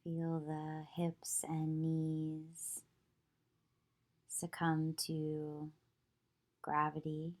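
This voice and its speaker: A woman leading a guided meditation as a yoga nidra teacher, soothing and measured, with long pauses between short phrases. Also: low-pitched, monotone.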